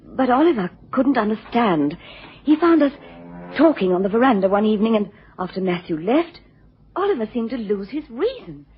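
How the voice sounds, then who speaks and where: A woman's voice: a little high, sing-song, moderate pace, husky, faint music behind, full character voice. An actress playing a distressed, genteel wife in a radio drama, hesitant and pleading as she seeks help. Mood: troubled, wistful. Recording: muffled lo-fi radio recording.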